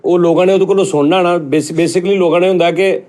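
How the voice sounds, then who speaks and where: A man's voice: high voice, fairly even intonation, quick pace, a little mellow. A man with a Pakistani Punjabi accent chatting casually in a comic group talk, repeating his points insistently. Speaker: host of a comedy chat show.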